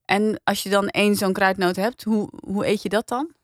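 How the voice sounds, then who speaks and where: A woman's voice: mid-range voice, steady tone, normal speed, dry recording. A woman hosting a podcast in a casual, conversational studio style. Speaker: podcast review host.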